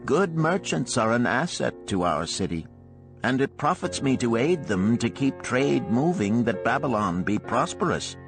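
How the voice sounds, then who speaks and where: A man's voice: medium pitch, sing-song, unhurried, music in the background, pitched above the performer's own voice. A man voicing a shrewd older gold lender in a storybook, speaking in an archaic, emphatic, declamatory way. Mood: firm, confiding.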